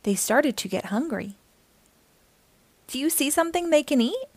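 A woman hosting a children's storytime, reading aloud in an animated, encouraging way and speaking directly to young listeners. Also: sits high, normal speed, no room sound.

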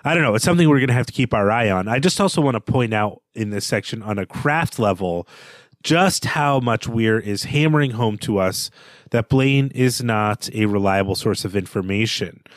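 A man co-hosting a book podcast, giving lively commentary on the author's craft. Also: fairly low pitch, normal speed, no echo. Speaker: podcast co-host.

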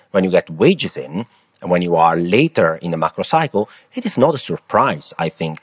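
A man with an Italian accent co-hosting a podcast, talking through economic data in a lively, emphatic way. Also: sits low, slightly rough. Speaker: podcast co-host. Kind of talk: casual market discussion.

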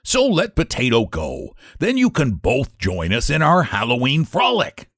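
A man's voice: mid-range voice, wildly swooping pitch, a little slow, fairly bright tone, no echo, studio quality, exaggerated voice. A jolly storybook pumpkin, acted by a man, coaxes and wheedles like a born ringleader. The delivery swings freely up and down, with a winning, persuasive manner. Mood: coaxing. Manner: coaxing, winning. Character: jolly, persuasive pumpkin leader of runaway vegetables.

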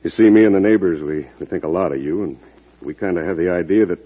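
A male actor playing a humble, plainspoken farmer in an old-time radio drama, talking in a halting, deferential, folksy way. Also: sits low. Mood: humble, hesitant. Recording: tinny, band-limited vintage radio recording.

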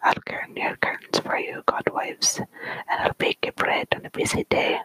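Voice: moderate pace, no room sound, lightly acted, low-quality recording. A whisperer doing a humble little brownie. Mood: earnest offering. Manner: soft unvoiced whisper, old-fashioned speech. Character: strange hairy little male brownie, humble and helpful. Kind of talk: whispered storytelling.

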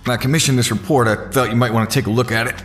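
A man's voice: medium pitch, steady tone, racing, fairly bright tone, close to an everyday voice. A man playing a composed, firm millionaire businessman in an audio drama, understated and matter-of-fact. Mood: firm, challenging.

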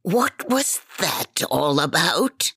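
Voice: medium pitch, sing-song, drawn-out words, sharp tone, husky, dry recording, clean studio sound, exaggerated voice. A woman playing a grumpy, haughty storyteller with a withering, theatrical delivery in a fantasy audio drama. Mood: irritated. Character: grumpy, haughty, sharp-tongued storyteller narrating a fantasy tale.

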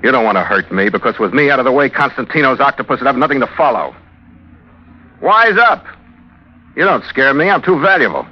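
A man's voice: medium pitch, very animated, brisk. A man playing a cool, wisecracking ship's master who tells his own story in a dry, hardboiled old radio drama style. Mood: cool, defiant. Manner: dry, wry, laconic. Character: Phil Kearney, cool wisecracking ship's master, first-person narrator. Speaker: lead actor and narrator. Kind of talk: hardboiled old-time radio adventure acting. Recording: muffled old radio recording with music.

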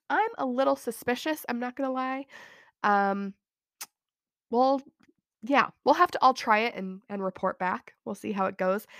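A woman's voice: fairly high pitch, vocal fry, fairly bright tone, no room sound, clean studio sound. A woman in her thirties from Utah hosting a casual solo podcast, chatty and self-deprecating with frequent giggles, her voice thickened by a cold. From Utah, USA. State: has a cold. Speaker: podcast host.

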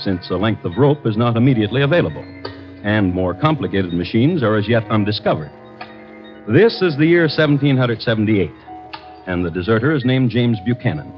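A man hosting an old radio crime show, reading scripted narration in a deliberate, dramatic, formal manner. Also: fairly low pitch. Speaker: host and narrator. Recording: dull vintage radio recording. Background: music.

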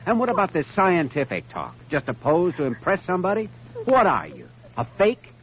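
An actor playing an earnest, compassionate young doctor with a probing manner, in vintage radio drama style. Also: male voice, high voice, wildly swooping pitch, moderate pace. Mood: accusing. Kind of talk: 1950s radio drama acting. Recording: muffled, faintly noisy vintage radio recording.

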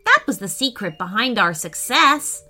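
A woman voicing an earnest, excitable young boy adventurer from a children's storybook, with an urgent manner. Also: fairly high pitch, moderate pace, soft background music, heavily acted. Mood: thankful, earnest.

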